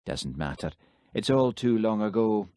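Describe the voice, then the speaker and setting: A man reading an audiobook, voicing a Highland village policeman who talks plainly and questions firmly. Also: lively intonation, a little slow, dark tone, no room sound, studio quality. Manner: plain-spoken, firm questioning. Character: Highland village policeman, easygoing but stern. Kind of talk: audiobook character voice.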